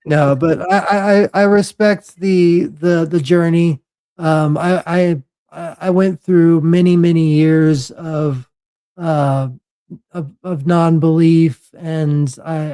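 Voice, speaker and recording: A man in his forties hosting a podcast, talking casually with lots of halting restarts. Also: on the high side, steady tone, warm tone, clear, resonant tone. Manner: halting, many fillers and restarts. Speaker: podcast host. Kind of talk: casual chat.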